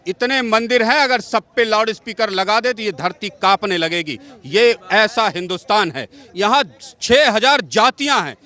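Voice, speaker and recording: A man's voice: very high voice, pushed and bright. A man speaking in an impassioned, argumentative monologue, emphatic and given to repeating points and listing examples.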